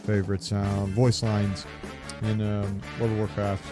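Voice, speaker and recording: A man talking casually as a gaming podcast host, halting as he thinks aloud. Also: on the low side, little pitch movement, over music.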